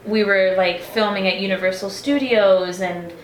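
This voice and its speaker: A chatty young woman tells her story in a relaxed interview, dropping "like" and quick acted-out quotes along the way. Her voice sits at a middle pitch. The room around her gives a clear echo. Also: slight background noise. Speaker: singer being interviewed. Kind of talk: casual interview chat.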